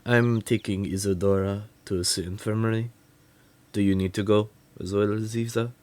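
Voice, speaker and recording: A man's voice: fairly low pitch, fairly even intonation, moderate pace, a little mellow, natural voice. A man voicing a stern, worried academy professor in an amateur fantasy audio drama, speaking in a formal, urgent manner. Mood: serious.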